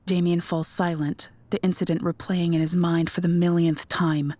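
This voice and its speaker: A woman's voice: on the low side, flat delivery, normal speed, mellow, no echo. A woman reading scripted narration for an audio drama as its narrator.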